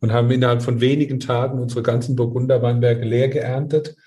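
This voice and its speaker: A middle-aged man with a soft, mellow voice talks casually about his trade as a winemaker. He is earnest and emphatic, often checking for agreement with little tag questions. His pitch sits at an average male level. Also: flat delivery, fast talker. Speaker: winemaker, guest. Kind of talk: casual conversation about own work.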